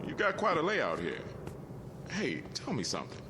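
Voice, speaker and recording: Chatty, wildly animated folksy workingman. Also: a little high, moderate pace, background noise. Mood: jovial, chatty. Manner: folksy, exclamatory. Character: chatty, easily amazed, folksy meter reader. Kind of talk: mid-century TV drama acting. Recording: vintage TV soundtrack with music.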